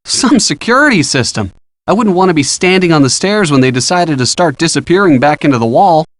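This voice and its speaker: A man's voice: a little high, lively intonation, a little fast, no room sound, lightly acted. An easygoing college-age guy from a video game, played by an actor. He talks in a casual, supportive way. The recording is close and dry, with no room around his voice.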